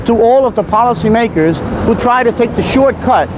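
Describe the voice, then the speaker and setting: A man's voice: extremely high pitch, lively intonation, a little fast, background noise. A man narrating a documentary in a formal, declamatory way.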